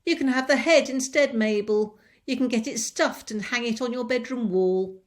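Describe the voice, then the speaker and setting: A woman playing a bossy shouting farmer's wife. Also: a little high, moderate pace, voice pushed up, lightly acted. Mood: impatient.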